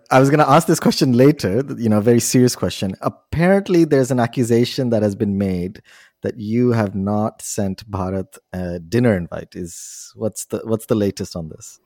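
A man's voice: lowish voice, brisk, a little mellow, dry recording, clean studio sound. A man hosting a podcast, asking questions in a playful, teasing way. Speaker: podcast host. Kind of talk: casual podcast interview.

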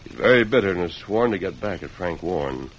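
An actor playing a calm, resolute helper in an old-time radio western, speaking in a measured, deliberate way. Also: male voice, low-pitched. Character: calm resolute male helper planning to clear an innocent man. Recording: noisy vintage radio recording.

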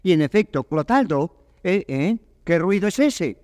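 A high-voiced clownish servant. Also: male voice, big pitch swings, a little slow, darkish tone, dry recording, studio quality, long reverb tail. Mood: jittery, comic. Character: cowardly, comic male servant, a jester. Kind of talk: classical Spanish comic stage acting.

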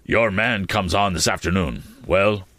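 Domineering lawyer in a storybook reading, voiced by a man, stern and clipped, low voice. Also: a little slow, a bit bright, no echo. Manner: curt, severe. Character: stern, domineering middle-aged male lawyer.

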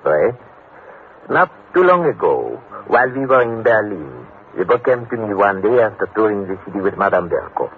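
An actor playing a suave, wary French gentleman with a French accent and formal phrasing in an old radio drama. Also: fairly low pitch, sing-song, moderate pace, slightly rough. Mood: grave, confiding. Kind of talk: 1950s radio drama acting. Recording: tinny, hissy old radio broadcast.

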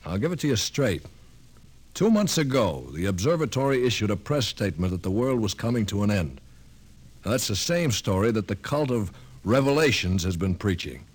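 An actor playing a pushy young newspaper reporter in an old radio drama, talking in a brash, persuasive way. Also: male voice, lowish voice, big pitch swings, normal speed, breathy edge. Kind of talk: 1950s radio drama acting.

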